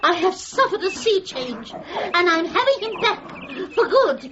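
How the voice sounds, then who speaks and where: A woman's voice: very high-pitched, sing-song, unhurried, highs cut off, a crowd in the background, full character voice. A woman acting a genteel, effusive British dog lover, feeble but defiant, in a radio comedy drama. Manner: effusive, melodramatic, genteel turns of phrase.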